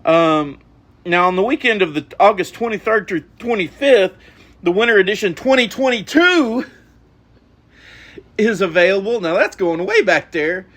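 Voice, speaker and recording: A man's voice: sits high, lively intonation, moderate pace, a bit bright. A man hosting a podcast, reading announcements casually with ums and goofy asides. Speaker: podcast host.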